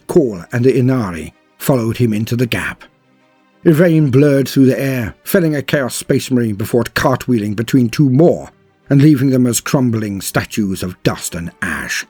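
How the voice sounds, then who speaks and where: A man's voice: medium pitch, animated, moderate pace, warm tone, slightly rough, dry recording, faint music behind. A man narrating a scripted tale in a grave, theatrical manner, drawing out words for emphasis. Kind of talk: dramatic scripted lore narration.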